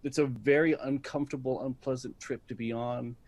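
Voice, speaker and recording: A middle-aged man talking casually as an actor being interviewed, telling stories and often pausing to search for words. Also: mid-range voice, steady tone, darkish tone.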